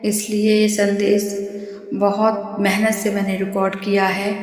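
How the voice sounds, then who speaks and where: A hoarse woman with a sore throat hosts a devotional channel and keeps making the same pleading requests to her viewers. Her speech runs nearly flat in pitch. The voice echoes with a long reverb, and soft music plays behind her. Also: unhurried. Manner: pleading, repetitive asks to like and subscribe. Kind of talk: casual appeal to viewers.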